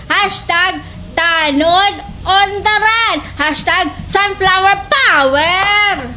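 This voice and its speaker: Woman as a giggly social media girl, squeaky high, teasing slang, drags her words, slapstick radio comedy. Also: sharp tone, a little hiss or hum, full character voice. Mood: gleeful mocking. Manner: mocking, slangy, drawn-out. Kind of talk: exaggerated slapstick radio drama acting.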